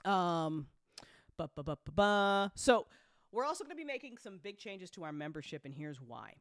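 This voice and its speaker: Candid, sweary woman with a lowish voice. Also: very animated, normal speed, dry recording. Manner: candid, heavily sweary, colloquial. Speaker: audio drama network producer and host. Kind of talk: casual, unscripted business update to fans.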